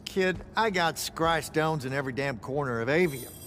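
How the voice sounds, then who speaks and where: An actor playing a blunt, shrewd older recluse in a video game, grumbling in a folksy way. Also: male voice, on the high side, moderate pace, a bit bright, slight background noise, close to an everyday voice. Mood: grave, knowing.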